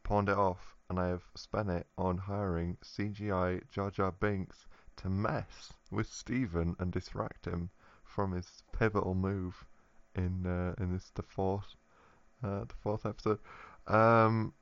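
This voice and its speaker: Low, smooth-voiced man on dull audio. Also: little pitch movement, a little slow. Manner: rambling, laughs often, trails off with fillers. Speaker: podcast co-host. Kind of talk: casual comedic banter.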